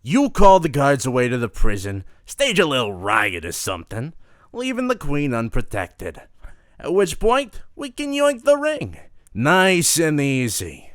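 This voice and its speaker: A man's voice: fairly high pitch, huge pitch swings, measured pace, fairly bright tone, no room sound, clean studio sound, voice pushed up, heavily acted. A man voicing a scheming, venomous male crime boss in a second-person audio drama, laying out plans in a commanding voice. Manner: hissing, commanding.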